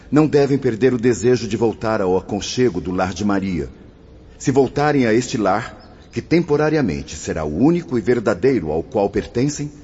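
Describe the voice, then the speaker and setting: An actor playing a stern but caring spirit counsellor in a radio drama, husky, formal and admonishing, with a Brazilian accent. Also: male voice, lowish voice, mellow. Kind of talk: scripted radio drama acting. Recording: muffled, long hall reverb.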